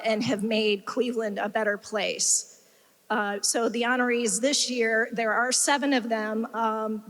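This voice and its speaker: A woman with a fairly high voice hosts the evening, talking loosely with plenty of ums. Her voice carries a long reverb from the banquet hall. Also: a bit bright. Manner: chatty, with many ums. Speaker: event host. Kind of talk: informal remarks at a gala.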